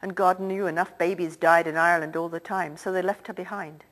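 A woman's voice: lowish voice, steady tone, brisk, a bit bright, rough recording. A woman reading the part of an older, wealthy Irish-born theatre man who talks in a confiding, lilting way. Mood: somber. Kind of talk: audiobook character reading.

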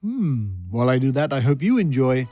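A man's voice: mid-range voice, very animated, a little fast, highs cut off. A man hosting a podcast, giving a conversational scripted introduction with playful, wry asides. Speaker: podcast host.

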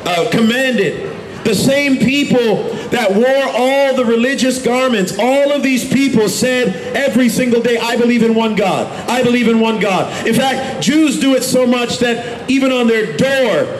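A man in his thirties preaching in the open air on a city street at night into a handheld microphone, often raising his voice to a shout. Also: very high-pitched, a little slow, reverberant, a little hiss or hum, low-quality recording. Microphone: handheld microphone through a street speaker.

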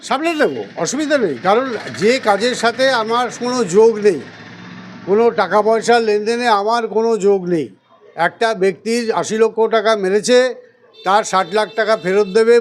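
High-voiced man in his sixties. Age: 60s. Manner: emphatic, defensive, rhetorical questioning. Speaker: local politician answering a reporter. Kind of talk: news interview statement, rebutting accusations.

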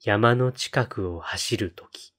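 Man, fairly deep voice, solemn poetry reading, deliberate pauses, unhurried slow delivery. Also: a bit bright. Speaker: poetry reader on a read-aloud channel.

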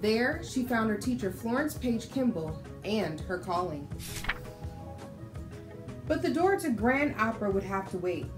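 An American woman in her thirties hosting a children's storytime, speaking in an instructive, expressive read-aloud style. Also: fairly high pitch, a little slow, pauses often. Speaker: children's storytime host and reader. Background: music.

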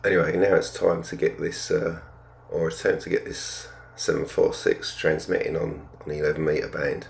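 An older man talking casually through a hobby vlog, explaining things in understated, hesitant, piecemeal phrases with a gravelly voice. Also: rumbling low, little pitch movement, moderate pace, a little hiss or hum. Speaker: amateur radio hobbyist vlogger.

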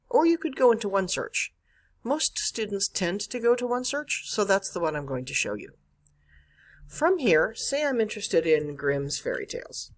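A woman narrating an instructional screencast as a librarian, explaining step by step with emphasis on key words. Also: medium pitch. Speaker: college librarian giving a catalog tutorial. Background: screen-recorded voice-over.

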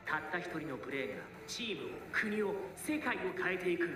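An actor playing an arrogant, contemptuous soccer coach in an anime, lecturing in a condescending manner. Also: male voice, high-pitched, sing-song, fairly quick. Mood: intense lecturing. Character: arrogant, contemptuous male soccer coach running a harsh program. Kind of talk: anime voice acting. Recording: distant, echoey, loud music behind.

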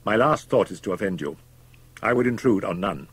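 A man's voice: fairly low pitch, lively intonation, fairly quick, husky, dry recording. A man voicing a pompous, uneasy elderly London doctor in a gothic audiobook, genteel and patronizing but flustered underneath. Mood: effusive, placating. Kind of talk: dramatized audiobook of a gothic tale.

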